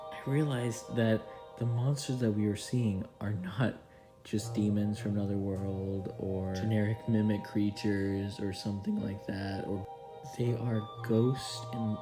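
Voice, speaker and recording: Low-voiced young man, casual horror commentary. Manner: informal, sweary, earnest. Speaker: video host, horror fan reviewer. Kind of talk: casual video-essay commentary. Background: clear background music.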